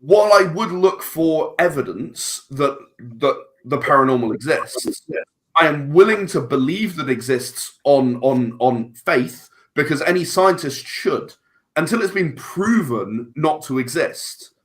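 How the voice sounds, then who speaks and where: A man's voice: a little high, animated, a little slow. A man, a podcast guest talking casually and thoughtfully, often restarting his words. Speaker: guest filmmaker.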